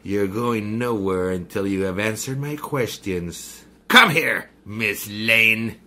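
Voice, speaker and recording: An actor playing a menacing male mystic villain in a 1930s-style radio drama, courtly and slow, then threatening. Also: on the low side, sharp tone, heavily acted.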